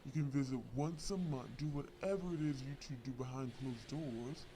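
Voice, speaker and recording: A man's voice: medium pitch, normal speed, mellow, lightly acted. Man playing a smug male crime boss, theatrical drawl, mock-wounded, politely threatening, audio drama, noisy ambient background. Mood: coolly bargaining. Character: theatrical smug male crime lord villain, lovesick and menacing. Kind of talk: scripted audio roleplay acting.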